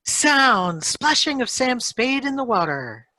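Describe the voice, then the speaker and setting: Bright, very slow woman reading cues. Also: fairly high pitch, big pitch swings, no echo, faint music behind. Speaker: sound effects reader. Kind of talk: clipped read-out of script cues.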